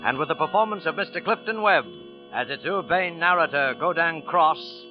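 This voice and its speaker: A man's voice: on the high side, moderate pace. Old time radio announcer guy, scripted sponsor intro, faint music, dull recording.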